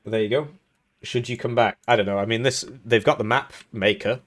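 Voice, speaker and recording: A man's voice: medium pitch, fairly even intonation, fairly quick, a bit bright. Rambling, joking male stream caster. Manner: rambling, joking, chuckling between remarks. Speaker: tournament host and caster. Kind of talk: casual live-stream game commentary.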